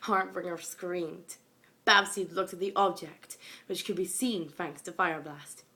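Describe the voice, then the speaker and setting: A woman's voice: on the low side, fairly even intonation, unhurried, pushed and bright. A young woman giving an amateur reading of a fan fiction story, narrating into a phone. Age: young, school age.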